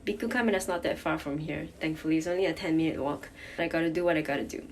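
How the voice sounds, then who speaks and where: A woman's voice: low voice, very fast, very noisy. A young woman with a Malaysian accent, chatting casually to camera in a vlog and often correcting and teasing herself. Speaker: vlogger. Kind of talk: casual sit-down vlog chat. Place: hotel room.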